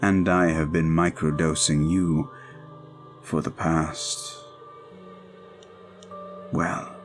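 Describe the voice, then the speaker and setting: A man playing a suave, possessive vampire in an audio roleplay, speaking formally and deliberately with a commanding manner. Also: rumbling low, flat delivery, soft background music, heavily acted. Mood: possessive, measured. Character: suave, controlling male vampire, courtly and possessive. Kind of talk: scripted audio roleplay, slow intimate monologue.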